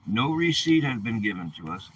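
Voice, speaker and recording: A man's voice: fairly low pitch, big pitch swings, moderate pace, heavy background noise. A man in his seventies delivering an aggrieved personal vlog monologue in an indignant, emphatic way. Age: 70s. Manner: indignant, emphatic, rhetorical questions. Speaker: vlogger.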